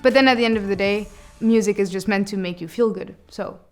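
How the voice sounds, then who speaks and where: A woman's voice: mid-range voice, fairly quick. A young woman from Belgium giving a casual interview. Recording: clear music under speech.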